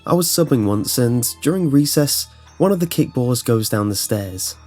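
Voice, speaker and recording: A man delivers scripted horror narration in a medium-pitched voice. He tells short first-person anecdotes as a narrator, with music playing behind him. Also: lively intonation, normal speed, darkish tone.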